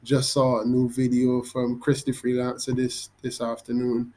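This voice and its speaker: A young Barbadian man walks through his screen in a loose, unscripted way. He hesitates often, fills gaps with "um" and restarts his sentences. His voice sits at an ordinary middle pitch. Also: completely flat delivery, moderate pace, a little mellow. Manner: hesitant, many ums and restarts. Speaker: vlogger. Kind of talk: casual screen-recorded walkthrough.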